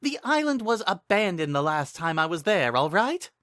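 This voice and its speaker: A brash young sorceress from a fantasy storybook, as a male narrator puts her on. She is playful and sardonic, with a raised, bright voice and a teasing edge. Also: sits high, very animated, normal speed, no echo, clean studio sound, pitched above the performer's own voice. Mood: exasperated. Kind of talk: dramatic storybook reading.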